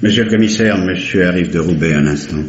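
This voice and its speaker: A man as a very deep-voiced, dutiful police assistant. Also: fast talker, a little hiss or hum, close to an everyday voice. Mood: deferential. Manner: deferential, orderly reporting. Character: dutiful, matter-of-fact male police subordinate. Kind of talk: mid-century French radio drama acting. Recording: dull, roomy vintage radio recording.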